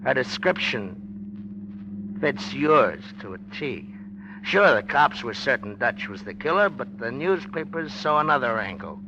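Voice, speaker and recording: A smug, slangy newspaperman out of an old radio crime play, needling in hard-boiled style. The voice is medium-pitched, on a dull, noisy vintage recording. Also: big pitch swings, a little slow. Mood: insinuating. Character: cocky, needling newspaperman, smug tough-talking man. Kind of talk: 1940s radio acting.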